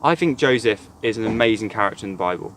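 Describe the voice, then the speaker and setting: A man's voice: on the low side, expressive, fast, a bit bright, a little hiss or hum. A man, a church youth worker, gives an earnest, reflective devotional talk retelling a Bible story.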